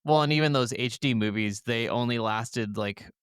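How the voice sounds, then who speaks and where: Casual man with a clear, dry-recorded voice. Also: medium pitch, moderate pace, a bit bright, no room sound. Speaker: podcast co-host. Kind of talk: casual chat about video games.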